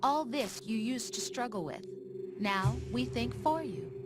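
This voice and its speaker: A woman playing a cool, taunting artificial intelligence in a video game cutscene, speaking in a deliberate, detached way. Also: a little high, lively intonation. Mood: cool detachment. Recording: music behind the voice. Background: music.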